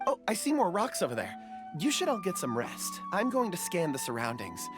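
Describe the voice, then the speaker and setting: Game NPC: polite gentle ore lover, animated pitch. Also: male voice, brisk, over music. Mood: earnest. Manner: soft-spoken, polite, earnest. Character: gentle young man who loves rocks, polite.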